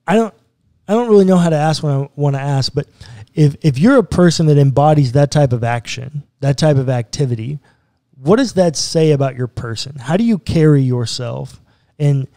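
A man in his thirties, a pastor, earnestly explaining a Bible passage step by step in a podcast conversation, close to a boom mic in a small studio. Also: moderate pace, warm tone, dry recording. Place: small studio room with bookshelves.

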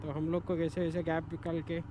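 High-voiced Indian motovlogger by the road. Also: male voice, monotone, brisk. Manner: mixes English time checks into casual Hindi. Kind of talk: casual travel vlog narration. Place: outdoors by the road on a motorcycle trip. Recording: noisy roadside recording.

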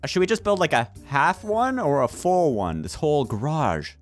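Higher-pitched young man in playful gaming banter. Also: huge pitch swings, moderate pace. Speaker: gaming video player. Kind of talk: casual gameplay banter with friends. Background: background music.

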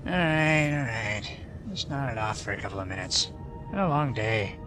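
A man's voice: medium pitch, lively intonation, moderate pace, over music, close to an everyday voice. An actor playing an easygoing but jumpy spaceship watchman in an audio drama. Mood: drowsy, easygoing.

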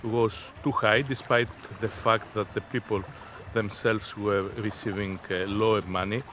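A man in his fifties answering interview questions in a halting, deliberate, unscripted way. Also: on the low side, steady tone, a bit bright. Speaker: interviewee, innovation zone representative. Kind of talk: spoken interview, unscripted explanation. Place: outdoors on a sea beach. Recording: outdoor seaside background noise.

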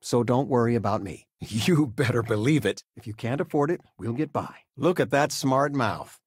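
An actor playing an affable, proud older father, a retired teacher in a video game, speaking fondly with gentle wry humor. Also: medium pitch, animated, normal speed, husky, dry recording, studio quality. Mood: warm, reassuring. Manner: fond, reassuring, gently joking. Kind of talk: video game character dialogue.

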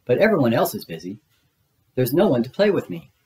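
A man voicing a gentle, simple little bear with a mild, earnest, childlike way of musing. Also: mid-range voice, very animated, a little fast, close to an everyday voice. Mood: wistful.

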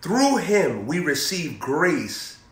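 A young man preaching an energetic sermon, repeating phrases and throwing questions to his listeners. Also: fairly high pitch, extremely slow. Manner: animated, repetitive preaching with call-and-response questions, moving around. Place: indoor room in front of a banner backdrop. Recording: echoey room.

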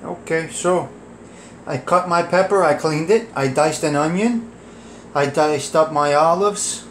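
A man's voice: on the high side, measured pace, a bit bright, a little hiss or hum. A man giving a casual cooking walkthrough in his home kitchen, chatting through his ingredients with fillers as he films overhead on a phone. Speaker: home cook vlogger. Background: music.

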